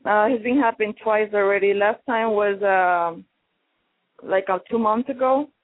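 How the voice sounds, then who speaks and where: A woman calling in to a radio show, speaking in a soft and hesitant way. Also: medium pitch, normal speed, no echo. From Ecuador. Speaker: caller. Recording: tinny phone line.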